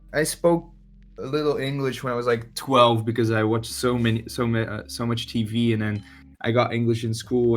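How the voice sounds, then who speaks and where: Young man, belgian accent, dutch native speaker, halting with fillers, mid pitch, faint music behind. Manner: hesitant, many fillers and restarts. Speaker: musician guest. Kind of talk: casual podcast interview.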